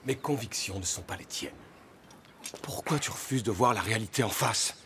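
An actor playing a battle-scarred, defiant young Gaulish warrior and son, blunt and intense in an animated historical drama. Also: male voice, lowish voice, moderate pace. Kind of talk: animated historical docudrama acting. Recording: noisy ambience behind.

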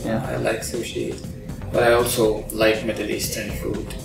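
A middle-aged man from Egypt, an engineering professor, explaining his research in a measured way with frequent ums and uhs, recorded in a large open-plan control center. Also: on the low side, big pitch swings, gravelly. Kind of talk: interview about his research. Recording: roomy, distant sound with music under it.

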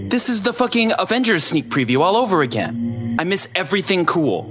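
Exasperated young man, animated. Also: high-pitched, brisk. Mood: irritated, exasperated. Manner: exasperated, complaining. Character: irritated young man, exasperated, whiny boyfriend. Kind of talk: horror audio drama acting. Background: music.

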